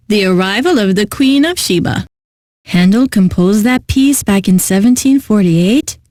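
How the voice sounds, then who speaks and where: A woman's voice: fairly high pitch, no room sound, studio quality. An actress playing an eccentric, lovelorn female classical radio host in a video game, announcing with genteel poise and wistful, theatrical delivery. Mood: reverent, admiring. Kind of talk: scripted radio DJ announcing with comic drama.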